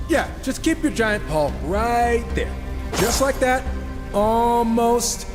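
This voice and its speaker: A man's voice: very high voice, expressive, slow, big-room sound, music in the background, exaggerated voice. A man playing a sarcastic, irreverent horseman gunslinger in a video game. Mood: flippant. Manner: sarcastic, flippant. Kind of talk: video game character acting.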